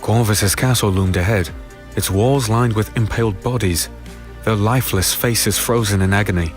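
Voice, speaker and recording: A man playing a weary, brooding fantasy hero who narrates his own dark tale in a deliberate, somber, dramatic way. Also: lowish voice, close to an everyday voice. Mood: ominous, tense. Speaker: narrator and lead character. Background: music.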